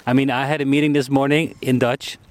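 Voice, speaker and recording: A man interviewing a guest casually outdoors in a park, speaking in a relaxed, conversational way with fillers and restarts. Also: mid-range voice, fast. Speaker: street interviewer.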